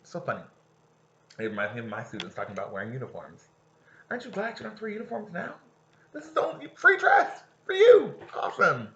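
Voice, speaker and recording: A male teacher reads a story aloud with a lively, swooping voice that rises and falls through each sentence. His tone is bright and forward, and he keeps breaking off to explain passages and put questions to his students. The recording sounds dull and lo-fi. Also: high-pitched, fast talker, slight background noise. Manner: animated, stops to explain and ask questions. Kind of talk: reading a novel aloud to students with commentary.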